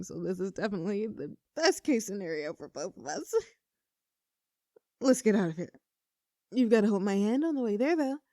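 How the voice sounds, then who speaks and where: Casting: actress playing nervous, teasing young best friend in love, earnest and conversational, intimate audio roleplay, very dry close sound. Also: female voice, mid-range voice, creaky, a little mellow, no room sound. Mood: happy, teasing. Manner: playful, teasing, earnest, slightly flustered.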